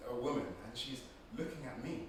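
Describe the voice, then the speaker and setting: A man's voice: mid-range voice, brisk, close to an everyday voice. Echoey, distant young dreamer played by a man. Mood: dreamy. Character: intense, restless young male dreamer, wry and poetic. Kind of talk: theatrical dramatic monologue. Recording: echoey room, far mic, noisy.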